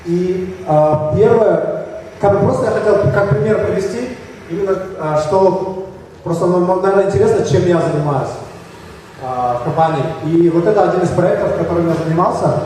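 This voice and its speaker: A man with a Kyrgyz accent, slightly hoarse from a cold, giving a halting conference talk with slides. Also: sits high, dark tone, a little hiss or hum. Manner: halting, many fillers and restarts. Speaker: conference speaker. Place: large echoey hall. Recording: echoey hall from far off.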